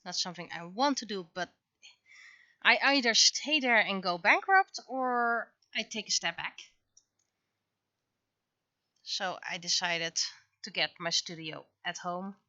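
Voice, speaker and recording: A woman chatting casually on a livestream as an artist at work, speaking haltingly as she thinks aloud. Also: mid-range voice, expressive, sharp tone, dry recording, dull audio.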